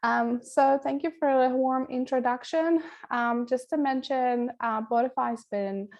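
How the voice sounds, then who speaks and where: A woman's voice: sits high, steady tone, unhurried, darkish tone. A woman giving a friendly, upbeat webinar presentation as guest speaker over a video call. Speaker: guest speaker, client director. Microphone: webcam video call.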